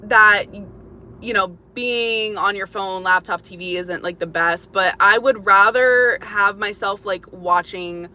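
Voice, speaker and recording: A woman's voice: medium pitch, takes it slowly, sharp tone. A young woman chatting casually on a livestream, rambling with lots of "like" and restarts. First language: English, also speaks Lithuanian. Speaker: YouTuber.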